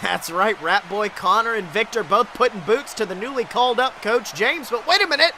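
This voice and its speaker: Very high-pitched, excitable male wrestling commentator. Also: normal speed, slight background noise. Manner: breathless, exclamatory, dramatic. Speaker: wrestling commentator, podcast host.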